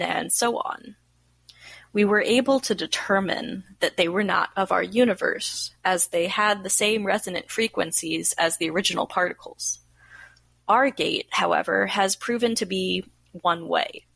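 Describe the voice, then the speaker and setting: A woman's voice: mid-range voice, creaky, fairly bright tone, rough recording. A woman playing a weary research scientist recording experiment logs in an audio drama, speaking in a dry, formal, precise manner. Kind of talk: scripted audio drama log narration.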